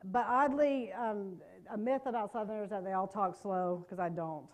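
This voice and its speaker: A middle-aged woman from North Carolina with a Southern accent, giving a chatty, joking training talk to a live audience in a meeting room. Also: medium pitch. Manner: fast-talking, chatty, self-deprecating jokes. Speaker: trauma care trainer.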